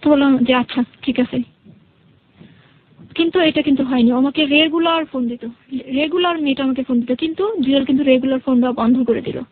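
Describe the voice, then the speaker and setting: A woman's voice: high voice, fairly quick, phone-quality sound, slight background noise. A young woman with a Bangladeshi accent, a guest telling her personal story conversationally and quoting reported dialogue.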